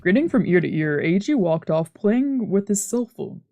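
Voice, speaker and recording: A woman reads a visual novel's narration on stream, even and unhurried with a mid-pitched voice. Quiet music sits faintly underneath. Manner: plain narration with playful asides. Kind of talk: reading game narration aloud.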